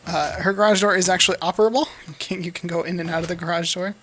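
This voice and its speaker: A man's voice: sits high, steady tone, racing, sharp tone, slight background noise. An American man giving casual, off-the-cuff gameplay commentary in an easygoing, rambling way. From United States. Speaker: Let's Play host.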